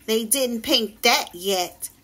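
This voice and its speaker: A sulky, determined little storybook boy , read by a woman, speaking in a high voice. His words come slowly and deliberately. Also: sharp tone, breathy edge, full character voice. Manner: slow, muttering and exclaiming.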